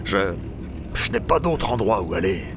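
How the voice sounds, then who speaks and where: A man playing a sly, grumbling old village scoundrel in an audio drama, creaky, hesitant and wheedling. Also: on the low side, big pitch swings, moderate pace, music in the background. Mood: sheepish, hesitant. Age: older. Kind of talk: French audio fiction acting.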